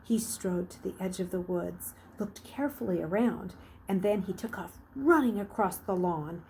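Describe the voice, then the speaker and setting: A woman reading a children's novel aloud like a teacher, with expressive, dramatic emphasis. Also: medium pitch, normal speed, creaky, slight background noise, low-quality recording. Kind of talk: expressive storybook narration.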